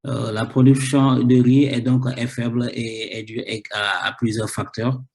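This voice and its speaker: A man's voice: mid-range voice, little pitch movement, a little slow, darkish tone. Hesitant man with a cold presenting research. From Senegal. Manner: hesitant, repeats words, many fillers. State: has a cold. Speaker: researcher presenting his thesis. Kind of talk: academic conference talk.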